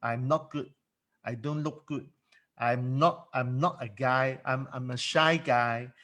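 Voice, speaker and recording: A man's voice: medium pitch, steady tone, slow, a bit bright. A man coaching in a solo monologue, emphatic and given to repeating words, speaking English as a second language. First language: not English. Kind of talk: motivational monologue.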